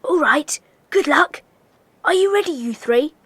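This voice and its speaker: Bossy high-voiced schoolboy leader. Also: female voice, lively intonation, fairly bright tone, no echo. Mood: hushed, commanding. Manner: brisk, commanding, earnest. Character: Peter, bossy schoolboy leader of child detectives. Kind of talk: children's audio drama acting.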